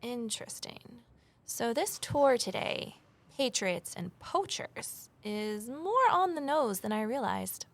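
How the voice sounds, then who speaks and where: A woman's voice: a little high, sing-song, slow pace, heavily acted. An actress playing a curious, watchful amateur investigator, telling her mystery in the first person and talking with others. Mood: casual, guarded. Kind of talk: audio drama narration and dialogue.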